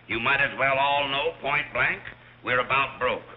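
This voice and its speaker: A man's voice: mid-range voice, moderate pace, natural voice. An actor playing a blunt, exasperated middle-aged father with dry sarcasm in a 1930s-style film comedy. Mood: grimly resolved. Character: gruff, exasperated wealthy father losing his fortune. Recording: muffled, noisy old film soundtrack.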